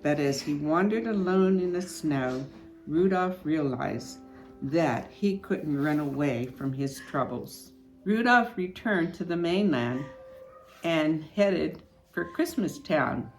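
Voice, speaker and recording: An older woman hosting story time, moving between animated storybook reading and casual chat. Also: deep voice, slow pace. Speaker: storytelling host. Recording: music behind the voice.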